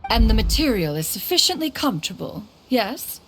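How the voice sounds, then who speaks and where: A woman's voice: fairly high pitch, sing-song, normal speed, slight background noise. A woman playing an imperious, mature immortal master in a video game, with stately, formal, archaic speech. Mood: proud, gracious. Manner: formal, archaic, refers to self as "one". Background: music.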